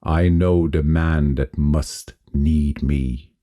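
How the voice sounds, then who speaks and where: Man as a very deep, slow devilish tempter. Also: full character voice. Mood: menacing, assured. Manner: slow, ominous, calmly assured. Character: young, suave, sinister devil-like gentleman tempter. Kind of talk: storytelling character voice in audio folk tale. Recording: long cavernous reverb on voice.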